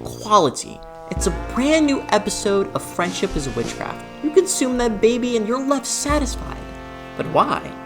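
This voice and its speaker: A woman's voice: a little high, expressive, normal speed. A woman narrating a scripted video essay as host, with a theatrical, sarcastic delivery and exaggerated emphasis. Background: background music.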